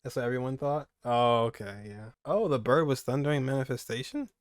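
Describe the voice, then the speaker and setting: A man's voice: medium pitch, brisk, clean tone, dry recording, studio quality. A young man giving casual, rambling reaction commentary as a gaming streamer, repeating phrases for emphasis.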